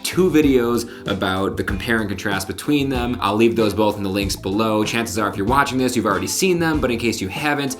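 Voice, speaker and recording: A man with a deep voice, vlogging casually to the camera and explaining gear with frequent restarts. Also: brisk, few pauses, faint music behind. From Connecticut, USA. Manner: chatty, explanatory, with filler restarts. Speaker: vlogger and magician. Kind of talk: casual vlog talk to camera. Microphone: camera-mounted shotgun mic.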